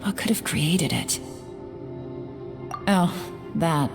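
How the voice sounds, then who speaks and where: A woman playing a cool, composed and shrewd investigator in a video game, speaking in a measured, knowing way. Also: low voice. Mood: pensive. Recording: long reverb, music underneath. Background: game music.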